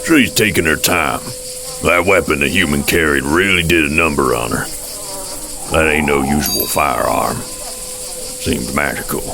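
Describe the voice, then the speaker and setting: Very deep, gravelly alien. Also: male voice, noisy background. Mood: wry, explaining. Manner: folksy drawl, sardonic, unhurried. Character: gruff, sardonic amphibious alien man. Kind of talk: audio drama dialogue.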